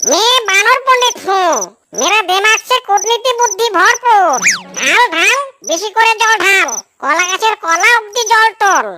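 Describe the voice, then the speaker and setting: A man voicing a scheming, boastful monkey trickster in a cartoon, bossy and excitable, with a pitched-up voice. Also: normal speed, very noisy. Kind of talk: exaggerated cartoon dialogue.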